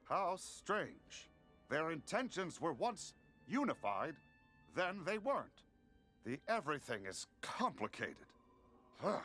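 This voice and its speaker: An actor voicing a naive, philosophical newly-sentient video game being with quaint, formal, wondering phrasing. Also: male voice, a little high, big pitch swings, takes it slowly, fairly bright tone, faint music behind, exaggerated voice. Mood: puzzled, musing. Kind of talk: video game character acting.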